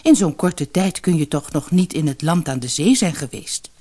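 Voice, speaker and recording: A woman's voice: low voice, expressive, moderate pace, dark tone, husky. Woman playing a worried, cautious poor mother. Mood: surprised. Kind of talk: storybook narration character.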